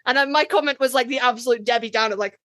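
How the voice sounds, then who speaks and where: A woman's voice: on the high side, fast, fairly bright tone, dry recording. A woman hosting a podcast in casual, conversational chat. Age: adult, parent. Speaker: podcast host.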